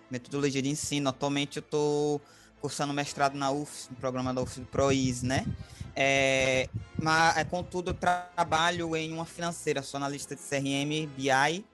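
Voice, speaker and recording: A man's voice: on the high side, fairly even intonation, normal speed, fairly bright tone, faint music behind. A man from Salvador with a northeastern Brazilian accent, giving a casual, conversational account of himself as an interview guest.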